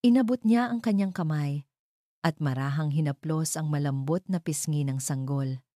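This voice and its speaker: Woman story narrator, dramatic scripted read, low mellow voice, really dry sound. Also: normal speed, dry recording.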